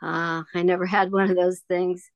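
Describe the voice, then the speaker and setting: A woman's voice: lowish voice, flat delivery, normal speed, no echo, highs cut off, lightly acted. A woman playing a genial, wistful older shopkeeper in an audio drama, chatty and nostalgic.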